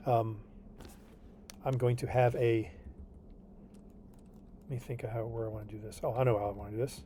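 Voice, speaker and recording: A man's voice: medium pitch, little pitch movement, normal speed, warm tone, slight background noise. A man tutoring a student over a video call, explaining homework patiently and reassuringly, often checking in with "okay?". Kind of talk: online tutoring session walking through homework.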